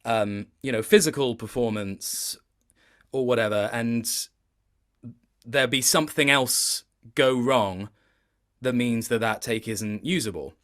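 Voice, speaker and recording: Man, mid-pitched, voice actor guest, conversational interview, thoughtful explanations, halting phrasing. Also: fairly bright tone. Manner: reflective, explanatory, stop-start phrasing. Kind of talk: interview chat about performance work.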